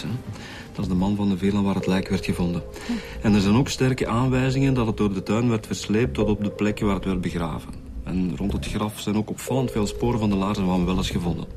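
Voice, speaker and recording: Brisk, low-voiced Flemish detective, played by a man. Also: music in the background, close to an everyday voice. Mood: reporting. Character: probing, sceptical male homicide detective. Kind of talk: radio drama acting.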